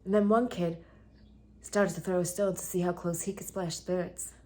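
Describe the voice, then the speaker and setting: A woman playing a traumatised, lonely young woman in a theatre monologue, halting and intense, often slipping into a breathy hush. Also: fairly low pitch, fairly even intonation, close to an everyday voice. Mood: uneasy, hurt.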